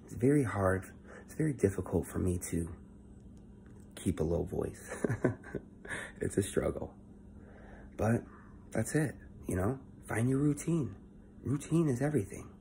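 A young man giving a casual motivational monologue into his phone at home, keeping his voice low and swearing freely. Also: animated, a little slow, gravelly, pauses often, warm tone, a little hiss or hum. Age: young adult. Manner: talking low and swearing often, earnest. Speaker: vlogger. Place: indoor room at home. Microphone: phone held close at arm's length.

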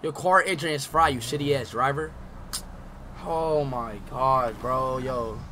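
A young man streaming a roleplay game, brash and excitable, swearing and calling everyone bro, often bursting into shouts. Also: medium pitch, measured pace. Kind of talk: loud casual livestream chat. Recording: noisy stream audio with game sounds behind.

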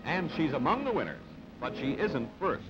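A man's voice: on the high side, big pitch swings, moderate pace. A man reading scripted newsreel narration in a clipped, punchy, dramatic way on an old soundtrack. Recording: dull band-limited old newsreel soundtrack. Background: music.

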